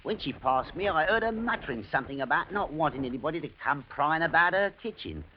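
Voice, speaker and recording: An actor playing a fretful, deferential gardener in an old radio drama, with folksy, muttering servant speech. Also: male voice, a little high, lively intonation, quick pace, close to an everyday voice. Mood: wary, deferential. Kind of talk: 1940s radio acting. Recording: muffled, hissy old radio broadcast.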